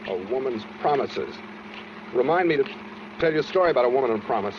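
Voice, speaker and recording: Actor as menacing fugitive, swinging pitch, old radio. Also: male voice, lively intonation, quick pace, close to an everyday voice. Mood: cold, bitter. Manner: clipped, cold, sardonic. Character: tired, menacing fugitive killer, curt and suspicious. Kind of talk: 1940s radio suspense acting. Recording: noisy, muffled 1940s radio recording.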